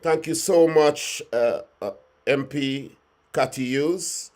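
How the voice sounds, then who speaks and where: A man's voice: medium pitch, slow pace, darkish tone. A man hosting a studio talk show, formal in tone, often repeating phrases and restarting with fillers.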